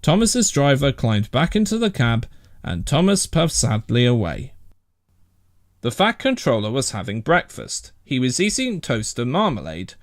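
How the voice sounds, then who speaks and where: A man's voice: medium pitch, huge pitch swings, measured pace, dry recording, studio quality. A man narrating a children's story from a script as a storybook reader.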